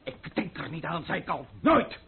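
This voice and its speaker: An actor playing a pompous, stout French count and politician, blustering and formal, in a Dutch radio play. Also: male voice, a little high, big pitch swings, moderate pace, vocal fry, slightly rough, full character voice. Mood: indignant. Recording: narrow-band, muffled old radio recording.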